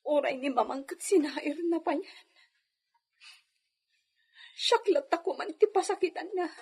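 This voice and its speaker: A woman's voice: sits high, a little slow, a little mellow. A woman playing an anguished, pleading young mother and neglected wife in a radio drama, with a Filipino accent. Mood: wounded, brooding. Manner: plaintive, pleading. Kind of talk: Ilocano radio drama acting.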